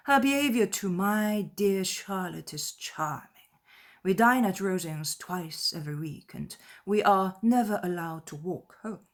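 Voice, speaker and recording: A woman voicing a pompous, fawning, self-satisfied clergyman in a dramatized classic novel, speaking effusively and deliberately. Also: mid-range voice.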